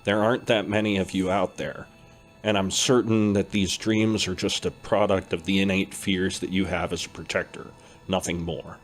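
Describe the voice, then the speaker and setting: A man playing a calm, steady older brother who teaches about dreams, with faint music behind. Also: low voice, moderate pace. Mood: reassuring. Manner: reassuring, steady. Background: faint background music.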